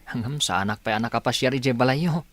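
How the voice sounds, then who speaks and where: A man's voice: mid-range voice, fast, natural voice. A man playing a friendly young student and best friend in an Ilocano radio drama. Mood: friendly, casual.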